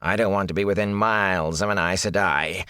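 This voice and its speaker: A man acts out a ragged, sly peddler from a fantasy audiobook. He rasps and whines in rustic turns of phrase, fearful yet boastful, with pitch that rises and swings about. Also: big pitch swings, unhurried, bright, forceful tone, dry recording, clean studio sound, exaggerated voice. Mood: bitter, whining. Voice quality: raspy, sometimes dropping to a hoarse whisper. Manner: whining, indignant, folksy rustic phrasing.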